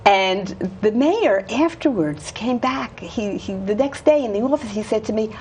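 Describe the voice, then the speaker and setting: A woman's voice: fairly high pitch, lively intonation, moderate pace, darkish tone, a little hiss or hum. Enthusiastic woman hosting a local show. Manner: enthusiastic, gushing. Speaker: host. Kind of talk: casual interview chat.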